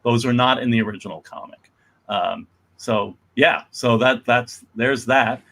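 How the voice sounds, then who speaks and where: A man's voice: medium pitch, measured pace, vocal fry, fairly bright tone. A man giving a casual, unscripted introduction as presenter of a comics reading, in a hesitant, rambling way.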